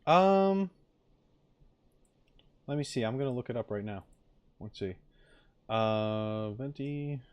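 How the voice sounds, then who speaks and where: A man's voice: medium pitch, animated, slow, pauses often, darkish tone, clear, resonant tone, highs cut off. A man streaming in a casual chat style, hesitant as he thinks aloud.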